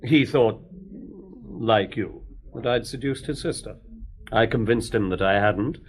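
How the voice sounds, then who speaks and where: A man's voice: on the low side, unhurried, poor audio. An actor playing an aloof, dismissive young man in a radio drama, with an offhand, sardonic manner.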